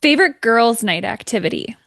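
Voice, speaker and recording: Woman, 30s, from Minnesota, podcast host: friendly, agreeable, quick to chime in, dry close mic. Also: fairly high pitch, animated, vocal fry, fairly bright tone, dry recording. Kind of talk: casual interview chat.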